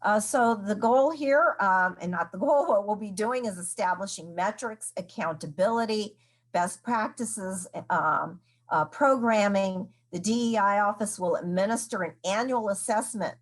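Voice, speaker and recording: A woman delivers a formal address as a university administrator, pausing often with "uh" between phrases. Her delivery is halting and measured. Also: mid-range voice. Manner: halting, many "uh" fillers.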